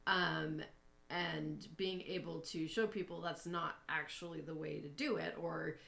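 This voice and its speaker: Brief: woman, lowish pitch, sore throat, candid co-host in a roomy echo. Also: level tone, a little slow, vocal fry, fairly bright tone. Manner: candid and reflective, with fillers. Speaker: co-host and coach. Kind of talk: casual conversation.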